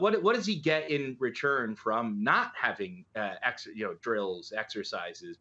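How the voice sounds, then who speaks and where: A man's voice: medium pitch, sharp tone. A middle-aged man giving live news commentary as an analyst, conversational and hesitant, posing rhetorical questions to make his points. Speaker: political analyst and journalist guest. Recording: dull, lo-fi remote link.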